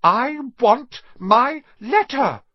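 Male reader as defiant young boy: raised pitch, angry insistence, storybook dialogue, slightly dull recording. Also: expressive, extremely slow, no echo, exaggerated voice. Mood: indignant.

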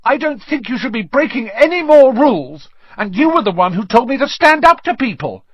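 Male narrator as nervous storybook schoolboy, very high and strained, earnestly standing his ground. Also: very animated, a little fast, a bit bright, slightly rough, dry recording, muffled recording, exaggerated voice. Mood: nervous defiance. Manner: strained, earnest pleading defiance. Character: timid but stubborn schoolboy bracing himself to resist.

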